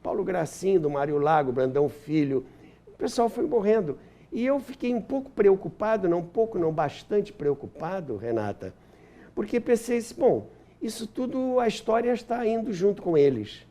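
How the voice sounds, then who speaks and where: A man's voice: high voice, big pitch swings, normal speed, dark tone. An older man with a Brazilian accent, reminiscing as an interview guest and searching for words here and there. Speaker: interview guest, historian and author.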